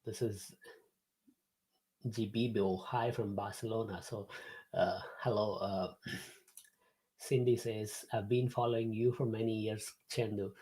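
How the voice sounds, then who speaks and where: A man's voice: fairly low pitch, steady tone, slow, long pauses, warm tone, slightly rough, rough recording. A man, hosting a live-streamed class from his home office, chatting to viewers with many fillers and restarts. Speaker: host and instructor.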